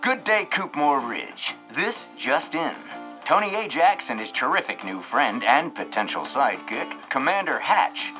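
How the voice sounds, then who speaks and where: A showy small-town radio newsman in a comic audio play, fairly high and animated. He delivers punchy, promotional old-time bulletins over prominent music. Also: measured pace, low-quality recording. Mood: breathless hype. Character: showy, slick male small-town news announcer.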